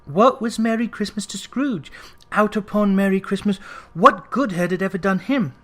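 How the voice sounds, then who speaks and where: An audiobook narrator reading a classic story aloud in an expressive, scripted storytelling style. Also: medium pitch, normal speed.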